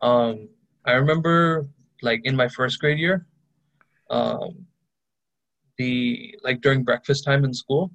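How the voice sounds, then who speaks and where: A man's voice: mid-range voice, takes it slowly, pauses often, fairly bright tone, dry recording, poor audio. Young male student recalling a memory. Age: young adult. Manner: recalling a memory with hesitant fillers. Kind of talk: casual class discussion.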